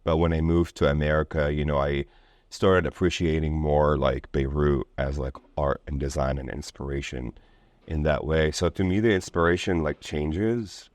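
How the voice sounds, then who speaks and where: Very deep-voiced man, casual and rambling. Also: fairly even intonation, moderate pace, darkish tone, no room sound. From Lebanon. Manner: rambling, informal, peppered with "like" and "you know". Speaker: interview guest, artist and DJ. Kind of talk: casual conversational interview.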